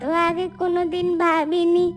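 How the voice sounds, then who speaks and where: A woman's voice: extremely high pitch, level tone, normal speed, faint music behind. A woman playing a homely, practical village mother in a cartoon, speaking in an assured, instructive way. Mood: approving, assured. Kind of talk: Bengali cartoon dialogue acting.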